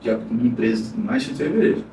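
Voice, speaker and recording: A man's voice: medium pitch, expressive, rapid-fire. Man recounting a story, roomy and distant. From Bahia, Brazil. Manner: frank, rambling recounting with many restarts. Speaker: podcast guest, engineer. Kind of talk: casual interview storytelling. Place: podcast studio. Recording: roomy, distant mic, noisy.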